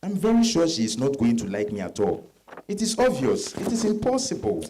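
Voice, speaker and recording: An actor with a West African accent playing a married suitor in dramatized novel dialogue, hesitant, coaxing and earnest. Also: male voice, mid-range voice, wildly swooping pitch, brisk, background noise. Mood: insistent. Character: smooth-talking married suitor, hesitant yet insistent husband.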